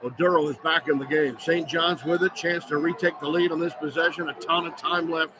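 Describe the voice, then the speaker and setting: Male radio play-by-play caller, high pitch, clipped excitable basketball delivery over loud arena noise. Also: brisk. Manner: clipped running phrases, excitable on big plays. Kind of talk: live basketball play-by-play commentary. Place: courtside at a large indoor arena. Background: loud game ambience.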